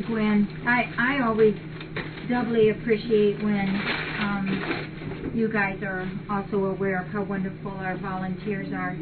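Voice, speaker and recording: An older woman chatting casually and appreciatively as she hosts a live webcam stream and reads things aloud. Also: mid-range voice. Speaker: cat rescue shelter host. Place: large indoor cat shelter room. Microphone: distant fixed webcam. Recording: muffled, noisy webcam audio from far off.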